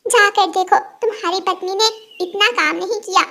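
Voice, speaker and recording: Woman voicing very high scolding female cartoon bird. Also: steady tone, moderate pace, sharp tone, exaggerated voice. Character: hardworking, scolding female bird who farms carrots.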